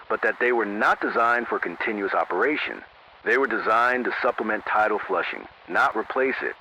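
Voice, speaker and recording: A man playing a sober local AM radio announcer in an analog horror emergency broadcast, speaking in a grave, measured, formal way through a radio filter. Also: lowish voice, pushed and bright. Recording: narrow-band AM radio with static noise.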